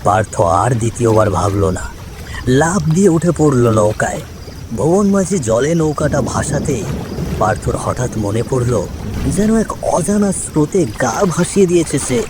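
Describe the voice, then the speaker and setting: A man narrating a scripted ghost story in a suspenseful, expressive way. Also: mid-range voice, moderate pace. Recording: clear rain and river water noise.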